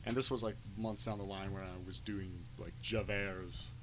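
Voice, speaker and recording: A man chatting casually on a podcast, telling anecdotes in an animated storytelling way, on noisy amateur audio. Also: sits low, moderate pace.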